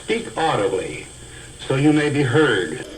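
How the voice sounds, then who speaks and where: A man giving a scripted instructional lecture as a speech teacher, in a deliberate, carefully enunciated, didactic manner. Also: mid-range voice, sing-song, poor audio. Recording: hissy old educational film soundtrack.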